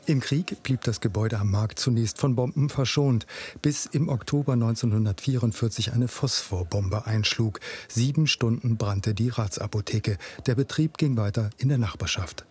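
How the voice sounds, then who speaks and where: A man narrating a TV report in an even, informative voice-over. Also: medium pitch, normal speed, slightly rough. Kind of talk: scripted TV narration. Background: music.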